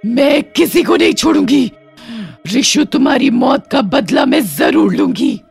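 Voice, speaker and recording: A woman playing a vengeful, grieving widowed witch in a horror story, speaking in dramatic, drawn-out vows. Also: sits high, expressive, creaky, a little mellow, husky, voice pushed up, exaggerated voice. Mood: enraged vow. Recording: music under the voice.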